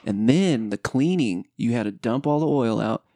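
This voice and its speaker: A man's voice: mid-range voice, lively intonation, moderate pace, mellow, dry recording, clean studio sound. A man hosting a podcast, chatting casually in a laid-back way, swearing and calling people "dude". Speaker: podcast host. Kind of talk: casual chat.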